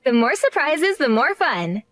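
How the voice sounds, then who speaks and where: A woman's voice: sits high, very animated, a little slow, fairly bright tone, dry recording, heavily acted. A woman voicing a cheerful but condescending young bride-to-be in a story drama, speaking in a bubbly, patronizing way.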